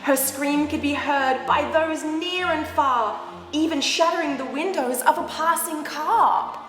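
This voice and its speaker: A woman reading a picture book aloud to young children, expressive, with animated, exaggerated reactions and asides. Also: high-pitched. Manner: animated, exaggerated reactions with gasps and drawn-out words. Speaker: children's storyteller. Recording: roomy echoing hall sound, background music. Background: music.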